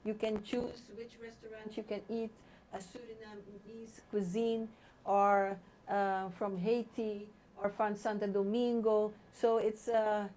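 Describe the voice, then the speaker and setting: A woman's voice: medium pitch, little pitch movement, slow, darkish tone. A woman from Aruba, a Papiamento speaker, talking conversationally as a teacher in an interview.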